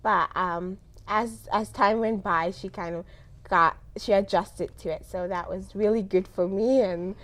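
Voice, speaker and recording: A woman's voice: medium pitch, steady tone, a little slow, a bit bright. Young woman from south africa, somali first language, chatting as an interview guest, chuckles a fair bit. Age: young adult. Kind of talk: conversational interview answers.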